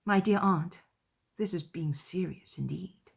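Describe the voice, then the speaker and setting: A woman voicing a spirited young woman in an audiobook drama, with an animated, emphatic manner, playful yet sincere. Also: on the low side, measured pace, creaky, husky, full character voice. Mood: amused. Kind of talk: dramatized audiobook character dialogue.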